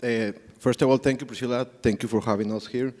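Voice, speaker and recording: A man's voice: mid-range voice, fairly even intonation, quick pace, darkish tone. A man from Ecuador with a Latin American Spanish accent, hesitantly presenting his company as an executive on a panel. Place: conference hall. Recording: echoey hall with long reverb.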